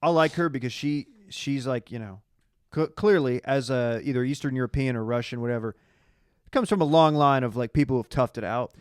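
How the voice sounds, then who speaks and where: A male American podcast co-host with an average-pitched voice. He speaks in loose, casual banter about a reality show. The recording is very dry, with no room sound. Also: fairly quick, smooth, dry recording. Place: small podcast studio.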